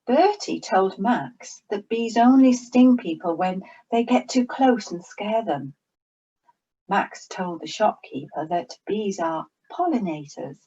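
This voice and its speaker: A woman reading a children's story aloud in a slow, expressive storytelling manner. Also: medium pitch, slightly rough, dull audio.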